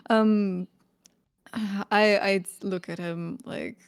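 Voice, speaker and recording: A woman's voice: mid-range voice, fairly even intonation, very slow, clean tone. A woman playing a tabletop game, describing her character's moves in casual, giggly, informal chat. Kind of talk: casual improvised role-play chat.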